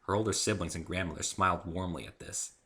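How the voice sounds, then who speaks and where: A man's voice: low voice, fast talker, bright, forceful tone. A man giving a dramatic reading of his own first-person story as narrator.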